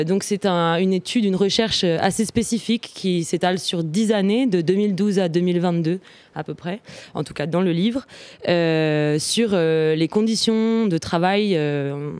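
A woman's voice: fairly low pitch, a little mellow, smooth, dry recording. A woman moderating a public talk and introducing the guest, hesitant with many fillers. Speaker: event moderator.

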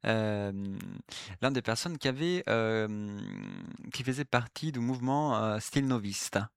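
A man's voice: medium pitch, a little slow, smooth, dry recording, studio quality. A man speaking French with an Italian accent, whose first language is Italian. He gives an explanatory class talk, hesitant and polite, with many filler sounds and restarts. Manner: apologetic, polite. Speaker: presenter giving a class talk. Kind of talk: explanatory talk on literary history.

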